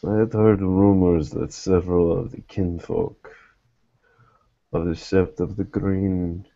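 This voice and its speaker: A guarded, fatherly private eye in a tabletop game, played by a man, with a very low, dark voice. He speaks formally and evasively, taking his time over measured phrases. The sound is slightly dull. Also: steady tone, gravelly, exaggerated voice. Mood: wary. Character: guarded male private investigator, fatherly toward a missing girl.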